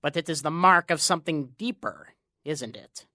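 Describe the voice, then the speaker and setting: Bright-edged, sly little male tempter acted by a woman. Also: deep voice, animated, dry recording, clean studio sound. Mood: sly, taunting. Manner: insinuating, coaxing, dramatic pauses. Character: sly small thin male stranger, needling tempter. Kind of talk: audio drama acting.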